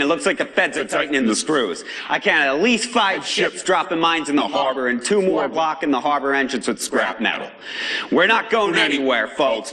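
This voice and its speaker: A man's voice: fairly high pitch, sharp tone. A man with an American accent voicing a fiery, angry and defiant underground broadcaster in a video game, speaking in an emphatic, streetwise, preachy way. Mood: grave, urging. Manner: emphatic, streetwise, preachy, swings between outrage and gravity. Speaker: pirate broadcaster. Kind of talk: video game voice acting, pirate broadcast monologue.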